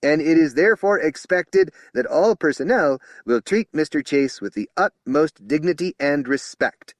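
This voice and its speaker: Brash barking police spokesman , a male narrator putting him on, raised and loud. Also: on the high side, expressive, normal speed, voice pushed up, natural voice. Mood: official. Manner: shouting, commanding.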